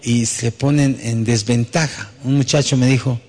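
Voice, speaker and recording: A man's voice: mid-range voice, steady tone, moderate pace, mellow. A man preaching as pastor to his church, speaking conversationally and often ending thoughts with a questioning tag. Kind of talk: sermon. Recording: long hall reverb.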